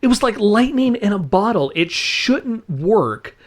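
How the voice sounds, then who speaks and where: A man hosting a podcast, chatting casually and enthusiastically. He talks at an unhurried pace with a lively, bouncing pitch, rambling along and laughing often. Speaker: podcast host.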